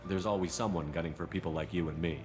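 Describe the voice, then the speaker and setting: A man's voice: deep voice, quick pace, over music, close to an everyday voice. A man playing a terse, self-assured undercover hero in a video game, dry and matter-of-fact. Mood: cool confidence. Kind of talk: video game cutscene acting.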